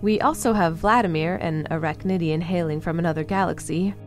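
A woman's voice: lowish voice, brisk, faint music behind, close to an everyday voice. A woman playing a sardonic female android who hosts a broadcast in an audio drama, wry and formally articulate. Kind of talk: audio drama, talk-show hosting.

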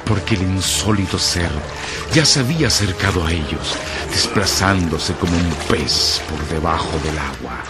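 Deep, ominous male narrator. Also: expressive, moderate pace. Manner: grave, dramatic, ominous. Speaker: radio drama narrator. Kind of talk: scripted horror story narration. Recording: loud music under the voice.

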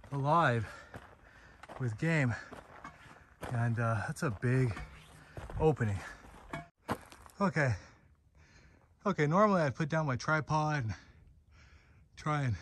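A man's voice: mid-range voice, fairly bright tone, a little hiss or hum. Tired man hiking a desert mountain. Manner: breezy, talks to himself while hiking, exclamations. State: tired, a bit out of breath from climbing. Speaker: desert explorer vlogger. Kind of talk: casual hiking vlog narration. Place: rocky desert mountainside outdoors. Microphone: handheld phone or action camera.

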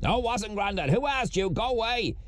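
A man doing a high-pitched, stroppy young Dubliner. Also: animated, moderate pace. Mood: snappy. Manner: curt, sweary, complaining. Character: bad-tempered, mouthy, insolent young Dubliner.

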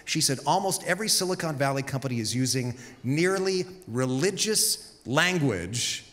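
A man's voice: medium pitch, moderate pace. A man in his fifties preaching a sermon on a church stage with a handheld mic, telling stories and acting out quoted voices. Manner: storytelling, animated, acts out quotes. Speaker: pastor. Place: large church stage with audience. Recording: long reverb of a big hall.

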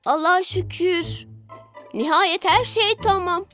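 Cartoon big brother: small boy, very high voice, unhurried, sensible, kindly. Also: pushed and bright, full character voice. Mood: assured. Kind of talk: dubbed children's cartoon acting. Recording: background music under the voice.

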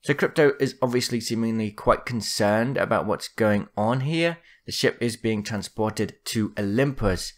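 Man, low voice, scripted narration, gaming lore host. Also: moderate pace, few pauses.

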